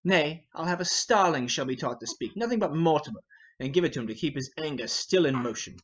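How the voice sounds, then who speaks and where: A man's voice: on the high side, animated, brisk, a bit bright, muffled recording. A man playing a hot-headed, impatient young nobleman, ranting in a declamatory Shakespearean stage reading. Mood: defiant. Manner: impetuous, declamatory. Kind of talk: dramatic reading of a Shakespeare play.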